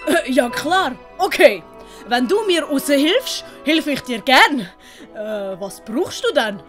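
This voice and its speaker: A woman voicing an excitable, naive, chatty young servant in an audiobook. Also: high-pitched, slow pace, soft background music, heavily acted. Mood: puzzled. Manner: exclaiming, naive. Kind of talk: audiobook character acting.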